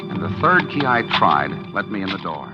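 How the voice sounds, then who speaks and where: A calculating, hard-boiled schemer tells his own story in a 1940s radio thriller. His voice sits low but moves a great deal, confiding and nervous by turns. Music plays under the dull, lo-fi broadcast sound. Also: sing-song, a little fast. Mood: brooding. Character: cool, calculating man plotting to poison his wife. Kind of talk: first-person narration in 1940s radio acting.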